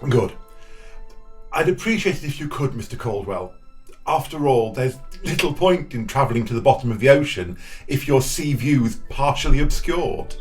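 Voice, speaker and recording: A formal, wealthy husband acted by a man in an audio drama, clipped and testy. His mid-range voice moves about expressively, with soft music underneath. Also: medium pitch, animated, normal speed, lightly acted. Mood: polite, uneasy.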